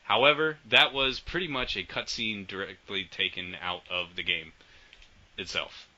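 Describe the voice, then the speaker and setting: A man hosting a casual podcast, enthusiastic and swearing often, trailing off quietly at the ends of sentences. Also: lowish voice, fairly even intonation, normal speed. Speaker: podcast host. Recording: dull lo-fi audio.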